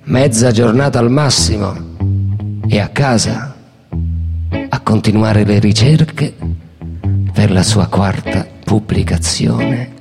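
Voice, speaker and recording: Narratore dalla voce grave e graffiata. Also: male voice, fairly low pitch, slow, creaky, pauses often. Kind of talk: theatrical scripted narration. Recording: reverberant hall. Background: music.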